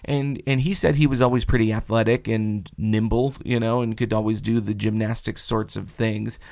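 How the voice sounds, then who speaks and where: A man with a hoarse voice full of gravelly fry talks casually as a podcast co-host. He spins long, enthusiastic stories. His voice sits close in a dry space with no room sound. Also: on the low side, steady tone, normal speed, a little mellow, no echo. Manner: enthusiastic, rambling storyteller. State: hoarse from a recent concert. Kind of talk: casual chat.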